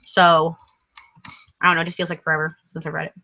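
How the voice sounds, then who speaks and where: A woman's voice: deep voice, flat delivery, fast talker, gravelly, sharp tone. A tired woman who is losing her voice, co-hosting a casual live book chat in a rambling, hesitant, self-correcting way. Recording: dull lo-fi stream audio.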